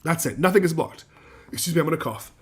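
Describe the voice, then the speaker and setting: A man streaming a factory-building game, losing his voice and clearing his throat, talking himself through each step and answering chat. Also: on the high side, lively intonation, fast. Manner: talks himself through building steps, counts out loud, asks himself questions; answers chat messages as he plays. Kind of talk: live gameplay commentary, thinking aloud.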